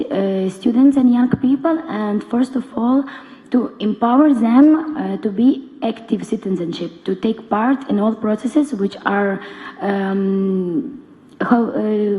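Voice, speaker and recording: A young woman with a Ukrainian accent gives a semi-prepared talk as a conference panelist, halting as she searches for words. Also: fairly high pitch, warm tone, highs cut off. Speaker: conference panelist, NGO board member. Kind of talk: semi-prepared public speech. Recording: reverberant conference hall.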